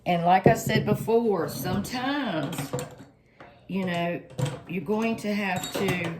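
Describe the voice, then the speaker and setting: A woman, a little hoarse and stuffed up, chatting homily through a cooking tutorial for her viewers. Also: mid-range voice, takes it slowly, noisy background. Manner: homey, chatty, lots of fillers, addresses viewers directly. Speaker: home cook channel host.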